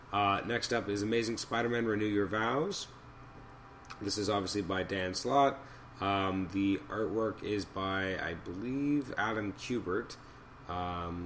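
Slightly hoarse man with a lowish voice. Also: a little hiss or hum. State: slightly hoarse and raspy from a cold. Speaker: podcast host. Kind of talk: unscripted comic book review commentary.

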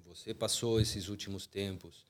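Low-voiced man, firm and emphatic. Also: steady tone, moderate pace. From Argentina. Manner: firm, emphatic, searching for words. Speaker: football coaching association coordinator, guest. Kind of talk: podcast interview answers.

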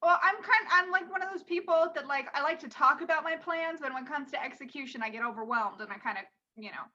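A woman's voice: high-pitched, fast talker, bright, forceful tone. A woman improvising a flustered, needy credit card addict in a comedy podcast, on dull lo-fi audio. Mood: defensive.

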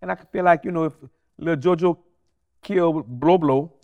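A man's voice: fairly high pitch, normal speed, narrow, tinny audio. A man with a New Orleans accent chatting casually as a podcast guest, blunt and slangy, often repeating himself for emphasis. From New Orleans, USA. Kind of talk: casual, animated interview chat.

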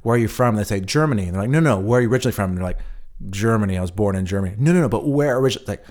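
Low-voiced American man, casual podcast chat. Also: animated, rapid-fire, a little mellow. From United States. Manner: thoughtful, stammers over starts. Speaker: podcast co-host.

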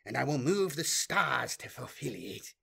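A man's voice: medium pitch, big pitch swings, unhurried, gravelly, sharp tone, slightly rough, exaggerated voice. A man voicing a scheming male sorcerer who fawns obsequiously before his master. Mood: fawning. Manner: obsequious, careful.

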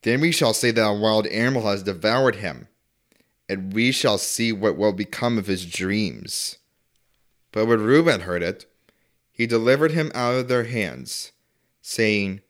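A man's voice: on the low side, expressive, a little slow. A man reading a Bible story aloud as a scripture reader.

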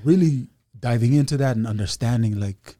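Male podcast guest, easygoing and talkative, mellow tone, dry room. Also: mid-range voice, moderate pace, dry recording. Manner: laid-back, chatty, many fillers and asides. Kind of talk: casual podcast chat.

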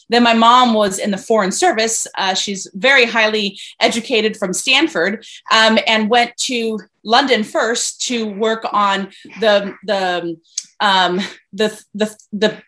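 A woman's voice: medium pitch, sharp tone. Woman from venezuela on a video call, chatty, lots of ums and restarts, interview guest. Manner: chatty, many fillers and restarts. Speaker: investor guest. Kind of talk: casual interview conversation.

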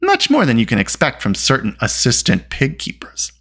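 A storybook reader voicing a spirited young princess who is brisk, indignant and talkative. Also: mid-range voice, huge pitch swings, a bit bright, breathy edge, exaggerated voice. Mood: pointed.

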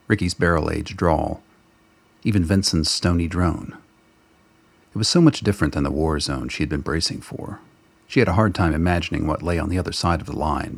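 A man narrating a radio drama in a steady, deliberate storytelling manner. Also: low voice, vocal fry, slightly rough. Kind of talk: scripted narration.

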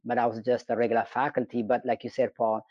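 A man's voice: medium pitch, flat delivery, fast talker, dry recording, highs cut off. A middle-aged man from Ethiopia, an engineering professor telling his story in an interview with frequent hesitations. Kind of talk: conversational interview.

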